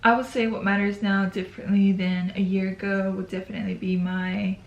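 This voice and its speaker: A woman's voice: medium pitch, little pitch movement, fairly quick, echoey room, muffled recording. A woman in her twenties talking casually to the camera in a yearly check-in video, chatty and a bit hesitant, with frequent ums. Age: 20s. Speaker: YouTuber.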